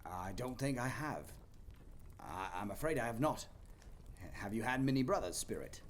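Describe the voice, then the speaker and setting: A man's voice: medium pitch, expressive, normal speed, slight background noise, natural voice. A man playing a humbled old miser in an audio play, timid, deferential and hesitant. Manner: hesitant, stammering. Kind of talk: theatrical audio play acting.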